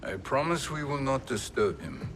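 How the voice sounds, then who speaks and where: Sly sorcerer, mid register, polished and insincere courtesy, video game cutscene villain. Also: male voice, mid-range voice, big pitch swings, moderate pace, fairly bright tone, slight background noise. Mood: sly, placating. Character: smooth-talking, sly male sorcerer villain. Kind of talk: dramatic video game acting.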